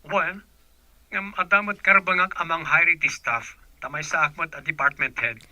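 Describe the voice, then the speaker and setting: Higher-pitched Ilocano man, businesslike office boss, acted. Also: steady tone, normal speed, bright, forceful tone, no room sound, muffled recording. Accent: Filipino, Ilocano. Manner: businesslike, instructing. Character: businesslike male department head recruiting office staff. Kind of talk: radio drama acting.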